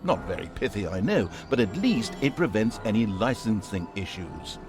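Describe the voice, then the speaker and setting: A man's voice: on the low side, sing-song, normal speed. A man playing a wry, pompous storybook narrator for a fantasy video game, telling it with theatrical, comic grandeur. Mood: mock-grand, wry. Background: game music.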